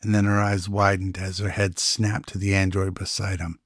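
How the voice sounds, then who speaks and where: A man's voice: low voice, level tone, moderate pace, no echo, studio quality. A man reading scripted narration for a radio-style drama. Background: music.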